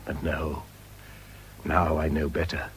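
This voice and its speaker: A man's voice: rumbling low, completely flat delivery, slow, a little hiss or hum. An actor playing a condemned Englishman in a radio drama, terrified and pleading, stammering as he speaks. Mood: shaken, remorseful. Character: anguished condemned man in his cell, terrified of hanging.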